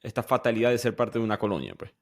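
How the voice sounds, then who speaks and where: A man's voice: fairly low pitch, animated, rapid-fire. A young man from Venezuela hosting a podcast, chatting conversationally and often restarting his sentences. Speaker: podcast host. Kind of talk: casual podcast interview.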